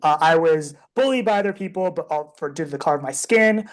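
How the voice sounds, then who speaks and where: A woman's voice: low-pitched, animated, fast talker. A young woman hosting a podcast, asking questions and reflecting thoughtfully with frequent fillers. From United States, Indian American. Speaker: podcast host.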